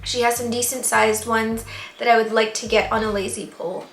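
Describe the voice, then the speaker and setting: Woman, hoarse, rambling casual vlog talk, higher voice, echoey room, faint music. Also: little pitch movement, brisk. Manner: chatty, rambling with fillers. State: losing her voice. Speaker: plant vlogger.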